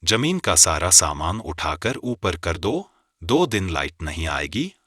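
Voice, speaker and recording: A man's voice: low-pitched, very animated, takes it slowly, a bit bright, no room sound, clean studio sound, full character voice. A man voicing an older, practical head of the family in a cartoon drama, with emphatic delivery. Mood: urgent. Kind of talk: animated family drama dialogue.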